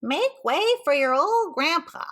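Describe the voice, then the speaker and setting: Storybook role: boy hamming up a croaky, shaky grandpa, high and slow, performed by a woman. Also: very animated, a bit bright, exaggerated voice. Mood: playfully doddering.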